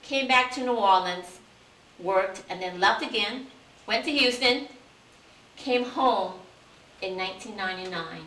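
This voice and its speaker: A woman in her forties from Vietnam recounts her path in an earnest, even way, her voice bright and forward. The mic sits some way off in a reverberant room, with a faint hiss underneath. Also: mid-range voice, unhurried, pauses often, distant mic. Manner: earnest, steady storytelling. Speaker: city council candidate. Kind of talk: interview answers, life story.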